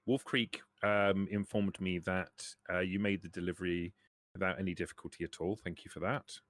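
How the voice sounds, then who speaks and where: A man voicing a businesslike trader in a tabletop game, dry, knowing and unhurried. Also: sits low, steady tone, fairly bright tone. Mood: politely probing. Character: businesslike male trader on a radio call.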